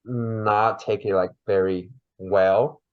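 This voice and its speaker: A man from China with a Mandarin Chinese accent, whose first language is Mandarin, chatting lively as a podcast guest and searching for words. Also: on the low side, slow pace, muffled recording. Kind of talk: casual interview chat.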